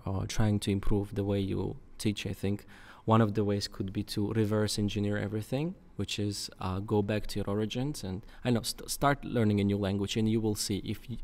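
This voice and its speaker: A young man with a low voice and a gravelly edge talks on a studio podcast. He thinks aloud, with frequent fillers and restarts, close to a boom mic. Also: a little mellow. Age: young adult. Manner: thoughtful, many fillers and restarts. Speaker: podcast guest, English teacher. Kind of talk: casual conversation. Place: dark studio. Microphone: boom mic in front.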